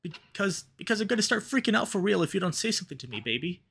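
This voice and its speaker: A man's voice: sits high, big pitch swings, fast talker, vocal fry, bright, forceful tone, breathy edge, pitched above the performer's own voice, full character voice. A man voicing an anxious, exhausted young man in a visual novel, with dramatic, emotive, pleading delivery. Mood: panicked, pleading. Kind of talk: visual novel read-aloud.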